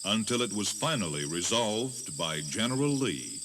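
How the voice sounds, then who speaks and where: A man giving solemn, measured, dramatic scripted narration as a tour guide. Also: fairly low pitch, very animated, background noise.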